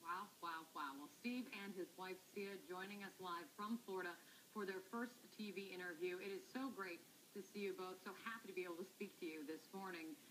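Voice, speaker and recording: A woman's voice: on the low side, steady tone, a little fast, fairly bright tone, a little hiss or hum, poor audio. A woman news anchor presenting a story and interviewing guests live, with an upbeat, emphatic delivery.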